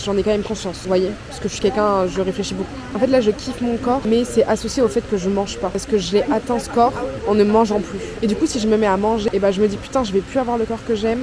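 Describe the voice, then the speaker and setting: A woman's voice: medium pitch, poor audio. A woman in her twenties talking casually and reflectively to her viewers as a travel vlogger, with a cold and a sore throat. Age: 20s. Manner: chatty, reflective, speaks to viewers as friends. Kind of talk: casual confessional vlog chat. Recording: clear outdoor street noise behind.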